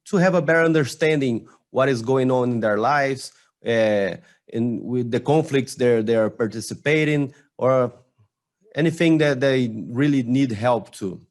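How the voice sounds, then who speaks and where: A man with a Brazilian Portuguese accent presents to a conference over a video call. He talks slowly and carefully and often stops to restart a phrase. His voice sits at an ordinary middle pitch. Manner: slow, halting, with frequent restarts and fillers. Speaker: conference speaker.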